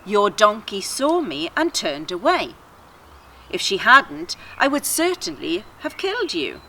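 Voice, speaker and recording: A woman voicing a stern, commanding male angel in a children's story, measured and admonishing. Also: medium pitch, huge pitch swings, sharp tone, full character voice. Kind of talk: children's story read aloud.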